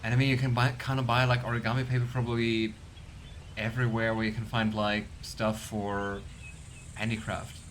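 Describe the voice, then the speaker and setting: A man giving casual gameplay commentary, chatty and curious, thinking out loud. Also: medium pitch, level tone, normal speed, slight background noise. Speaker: let's play streamer.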